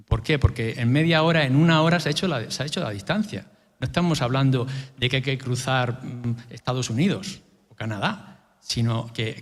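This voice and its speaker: A man with a fairly high speaking voice talks on a conference panel. He is direct and poses questions only to answer them himself. A long hall echo surrounds his words. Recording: reverberant hall.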